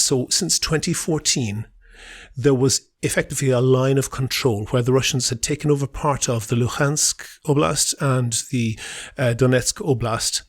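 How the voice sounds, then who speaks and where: A man with a middle-pitched voice speaks as a guest commentator on a political podcast. He lays out his analysis in an explanatory, assertive manner, building his case point by point.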